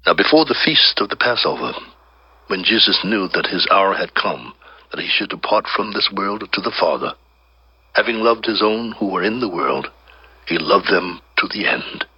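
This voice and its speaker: Low-voiced man solemnly narrating scripture. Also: lively intonation, slightly rough, no room sound. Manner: solemn, measured storytelling. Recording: slightly dull, band-limited audio with faint music. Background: faint music.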